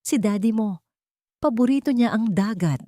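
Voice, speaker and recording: A woman voicing a gentle, loving widowed mother in an audiobook story, speaking softly and wistfully with a Filipino accent. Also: fairly high pitch, normal speed, no echo, studio quality, voice pushed up, natural voice. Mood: gentle, warm.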